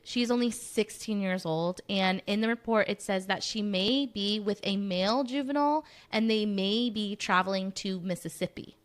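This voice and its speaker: Steady-reading woman co-host. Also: mid-range voice, normal speed, few pauses. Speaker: co-host of a missing persons segment. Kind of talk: casual live show chat.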